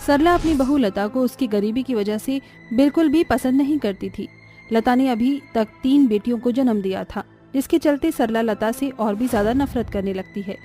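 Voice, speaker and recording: A woman's voice: a little high, normal speed, mellow, soft background music. A woman narrating a scripted family story as its storyteller.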